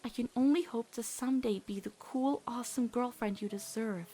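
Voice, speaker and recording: A woman voicing an earnest, awkward teenage girl in an emotional cartoon comic dub, heartfelt and halting when nervous. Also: on the high side, warm tone, slightly rough.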